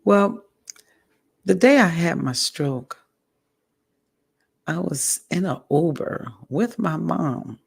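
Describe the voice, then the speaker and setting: Hoarse raspy woman vlogging, super gravelly and slow, keeps clearing her throat. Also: lowish voice, animated. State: hoarse, recovering from a stroke. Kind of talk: candid personal update. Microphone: audio only, home recording.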